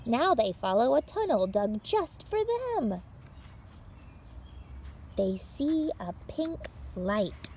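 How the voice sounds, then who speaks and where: A woman hosting story time for young children, reading aloud in an animated, encouraging way with simple phrasing. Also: a little high, slow, pauses often, slight background noise.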